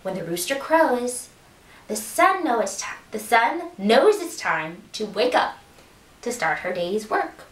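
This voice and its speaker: A woman telling a folktale to young children in a slow, expressive way. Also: fairly high pitch. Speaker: storyteller. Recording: roomy echo, distant mic.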